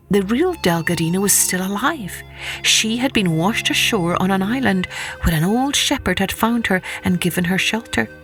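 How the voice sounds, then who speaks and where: A woman's voice: medium pitch, a bit bright. A woman storyteller reading a scripted children's folktale expressively.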